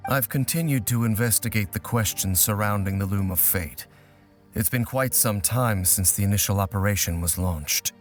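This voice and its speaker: Man acting a low-voiced, solemn, brooding warrior. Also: faint music behind, natural voice. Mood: somber, resentful. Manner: grave, formal, deliberate phrasing. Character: solemn, brooding ancient warrior, vengeful betrayed survivor. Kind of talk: video game voice acting.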